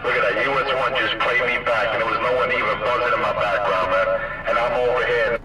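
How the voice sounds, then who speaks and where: A man's voice: mid-range voice, fairly even intonation, a little fast, loud music behind the voice. A man bantering in boastful slang over a CB radio. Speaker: CB radio operator. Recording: very noisy CB radio transmission.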